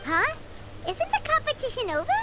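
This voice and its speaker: A woman voicing a tiny, excitable, cheeky fairy companion in an anime video game, squeaky and childlike. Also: fairly quick, loud music behind the voice. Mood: puzzled. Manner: exclamatory, speaks of self in third person.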